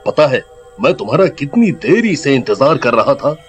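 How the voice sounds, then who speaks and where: A man playing a young boyfriend in an animated horror story, dramatic, grumbling and easily frightened. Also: medium pitch, moderate pace, muffled recording, faint music behind, natural voice. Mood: grumbling, impressed.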